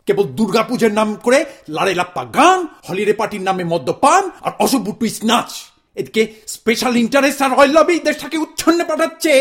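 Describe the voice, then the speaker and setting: A man's voice: very high-pitched, a bit bright, exaggerated voice. A man acting in a radio play as a self-important, indignant middle-aged Bengali father who declaims and hectors like a zealous protester.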